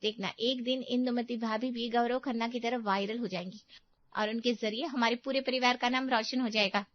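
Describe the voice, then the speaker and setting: A woman's voice: on the high side, steady tone, moderate pace, no echo, close to an everyday voice. A woman voicing a naive, earnest young unmarried girl in a cartoon story. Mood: admiring. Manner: soft, earnest. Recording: dull dry recording.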